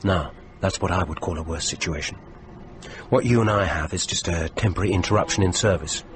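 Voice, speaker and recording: A low-pitched British man played by an actor, stranded and morbidly curious, in a radio play. He needles his companion with a dry edge, over clearly noisy ambience. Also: fairly quick, natural voice. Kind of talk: radio drama acting.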